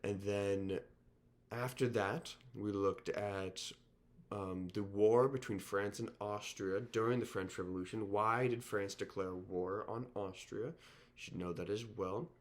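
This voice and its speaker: A teacher with a sore throat and a slightly nasal voice, giving a recorded lecture in an informal way, with frequent ums and restarts. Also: male voice, on the low side, fairly even intonation, unhurried. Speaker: history teacher.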